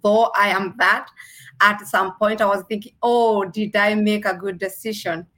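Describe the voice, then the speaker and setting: A woman from Kenya with a Kenyan accent, a registered nurse answering interview questions earnestly, with measured pauses. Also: mid-range voice, steady tone, fairly bright tone.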